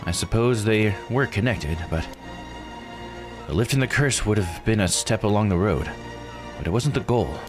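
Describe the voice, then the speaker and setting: A man voicing a weary, regretful woman diver in a visual novel, measured and confiding. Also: fairly low pitch, expressive, close to an everyday voice. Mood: grave, confiding. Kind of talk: reading visual novel dialogue aloud. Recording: music under the voice. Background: game music.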